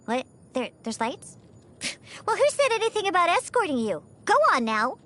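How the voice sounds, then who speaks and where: A woman's voice: extremely high pitch, sing-song, normal speed, fairly bright tone, heavily acted. A woman voicing a proud, grumpy young cat-girl bartender in a video game, huffy and stammering when flustered. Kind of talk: video game character voice lines.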